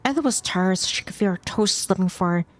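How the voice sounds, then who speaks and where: A woman's voice: mid-range voice, fast talker. A woman streamer reading a visual novel aloud as story narration, now and then stumbling over a word and rereading it. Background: music behind the voice.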